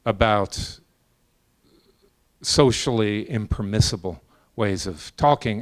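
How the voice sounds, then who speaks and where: A man arguing a point as a professor in an academic discussion, deliberate and measured, in short separated phrases. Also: lowish voice, a bit bright. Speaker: professor on a debate panel. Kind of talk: extemporaneous academic argument.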